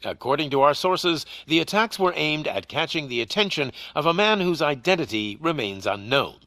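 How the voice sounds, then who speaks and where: An actor playing a TV news anchor with a polished, upbeat newsreader delivery. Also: male voice, fairly high pitch, very animated, moderate pace, bright, forceful tone, dry recording, full character voice. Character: smooth male TV news anchor. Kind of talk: video game cutscene acting.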